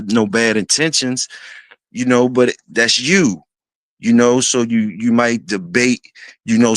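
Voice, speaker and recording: A man in his fifties hosting a casual talk, speaking in a laid-back, rambling way with many fillers and restarts, often clearing his throat. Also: fairly low pitch, a bit bright, no room sound. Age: 50s. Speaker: host.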